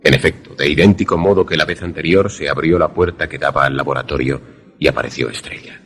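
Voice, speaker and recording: A man's voice: very deep voice, fast, fairly bright tone, husky, heavily acted. A man playing a grave, questioning protagonist who narrates a suspense radio drama in formal, literary language. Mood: awed, narrating. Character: grave, questioning male narrator-protagonist caught in eerie events.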